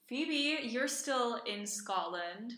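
Bright-voiced young woman in an echoey room. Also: fairly high pitch, lively intonation, extremely slow. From Iceland. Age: young adult. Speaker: podcast co-host. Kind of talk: casual chat. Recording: roomy, echoey sound.

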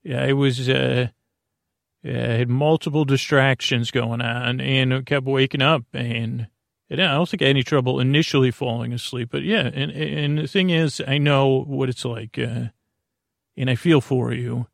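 A man hosting a sleep podcast, with a creaky, gravelly voice, rambling in a meandering, halting monologue that often stops and restarts. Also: mid-range voice, fairly even intonation, no room sound, studio quality. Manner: meandering, halting, self-deprecating.

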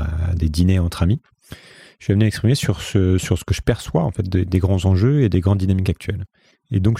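A man of about forty with a Parisian French accent hosts a solo podcast in a very deep, husky voice. He talks conversationally, thinking things through with a modest, reflective air. The recording is close and dry. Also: warm tone, dry recording. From France, Paris region. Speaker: podcast host.

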